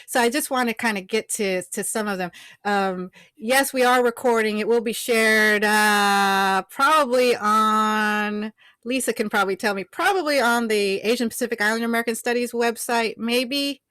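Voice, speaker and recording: A woman's voice: on the high side, normal speed, fairly bright tone, no room sound. Moderator: woman, chatty, filler-heavy, dry close sound, online event. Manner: chatty with many fillers and restarts.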